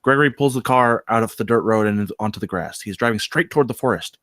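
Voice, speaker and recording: A man's voice: lowish voice, fast, a bit bright, no echo, studio quality. A man reading stage directions as narrator of a scripted comedy table read.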